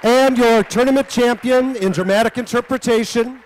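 A man's voice: very high voice, normal speed. A man working as an awards announcer, making ceremonial announcements to an audience at an event. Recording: event hall with music and crowd.

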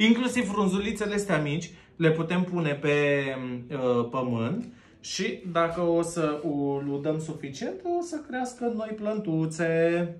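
Jokey man gushing, laughing in echoey room. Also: on the high side. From Romania. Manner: jokey, laughs often, drawn-out gushing words; busy with his hands while talking. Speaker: host of a plant arranging show. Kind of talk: playful mock cooking show. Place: home room with plant wallpaper. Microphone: camera at a few feet.

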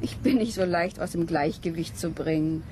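A woman's voice: fairly low pitch, normal speed, a little mellow, slight background noise, natural voice, poor audio. Woman acting level-headed, composed young student. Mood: matter-of-fact. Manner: matter-of-fact, composed. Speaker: radio play actress. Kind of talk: German radio drama dialogue.

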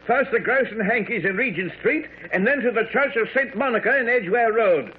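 An actor playing a dashing, urgent gentleman bridegroom in a radio drama. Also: very high voice, animated, brisk. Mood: urgent, rushed. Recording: narrow-band old radio broadcast, faint noise.

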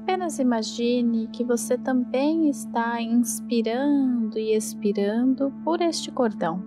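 A woman with a Brazilian accent, calmly interpreting a guided meditation in measured phrases. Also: a little high. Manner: calm, measured. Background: meditation music.

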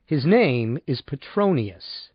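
A man's voice: mid-range voice, very animated, takes it slowly, slightly rough, dry recording, highs cut off, heavily acted. A man voicing a stubborn, impatient male customer with a curt, sardonic manner in an audiobook.

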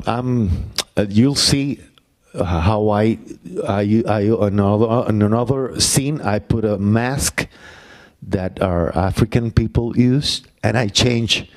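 A middle-aged man with a Puerto Rican accent, a playwright answering audience questions on a university stage into a handheld mic, halting, with many fillers. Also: fairly low pitch, a little mellow. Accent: Puerto Rican Spanish. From Puerto Rico. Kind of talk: Q&A talk after a play.

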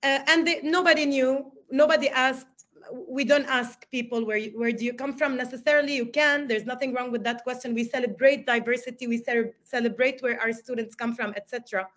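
A woman's voice: high-pitched, steady tone, brisk, fairly bright tone. A woman from Lebanon teaching English at university, giving a reflective webinar lecture full of rhetorical questions over a video call.

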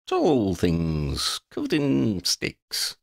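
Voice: mid-range voice, huge pitch swings, very slow, creaky, dry recording, studio quality, voice pushed up, exaggerated voice. A man voicing a gangly, muddle-headed wandering vagabond in a storybook, with quaint old-fashioned phrasing and a rambling, easily distracted manner. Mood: excited, whimsical.

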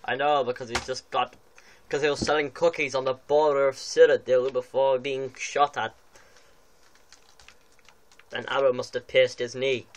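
A man's voice: medium pitch, level tone, normal speed, long pauses. A young man joking and teasing a friend while making a game character at home. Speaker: gamer playing with a friend. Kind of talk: casual banter over gameplay. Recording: home video with faint background noise.

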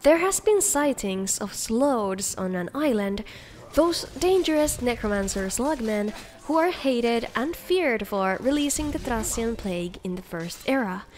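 A woman's voice: fairly high pitch, expressive, measured pace, a little mellow. A woman hosting a video, reading scripted showcase narration. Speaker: video host. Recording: faint music under the voice.

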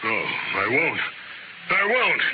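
An older American man playing a proud, hot-tempered rancher father in 1950s radio drama, forceful and emphatic. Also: mid-range voice, very animated, slow pace. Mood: defiant rage. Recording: muffled, noisy old radio broadcast.

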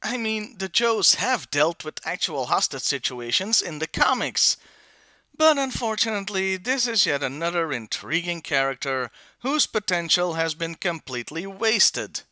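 Animated man reviewing toys, bright voice. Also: sits high, unhurried, dry recording. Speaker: toy review host. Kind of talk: scripted review narration.

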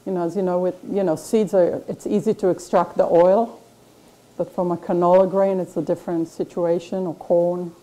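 Mellow-voiced woman teaching a cooking class. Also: on the low side, fairly even intonation, normal speed, rough recording. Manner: chatty, explanatory, many fillers. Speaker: chef and cooking instructor. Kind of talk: casual cooking demonstration. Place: large kitchen classroom indoors.

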